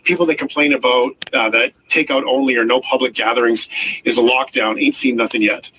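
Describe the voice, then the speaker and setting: A man's voice: medium pitch, fairly even intonation, brisk, bright, forceful tone, slight background noise. A man hosting a news talk radio chat. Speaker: radio host. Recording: dull lo-fi radio playback.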